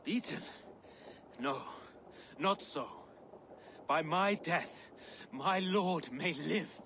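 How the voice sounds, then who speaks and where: A proud Saxon soldier in a dramatic game. His high-set man's voice moves slowly and with stately defiance, declaiming like a loyal servant of his king. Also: noisy background. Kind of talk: dramatic video game acting.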